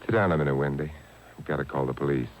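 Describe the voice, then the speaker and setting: An actor playing a wry, unflappable detective in 1950s American radio style, languid and deadpan with teasing understatement, on an old broadcast recording. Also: male voice, very deep voice, fast, slight background noise. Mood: grave, calm. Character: wry, unflappable male detective, lazily sardonic.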